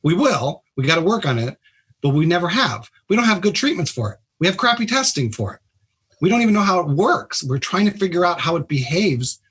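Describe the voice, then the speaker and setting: A higher-pitched man with a husky edge to his voice talks bluntly as a radio guest. He explains with punchy, emphatic sentences in a casual way. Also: very animated, a little fast, a bit bright. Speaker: trauma surgeon guest.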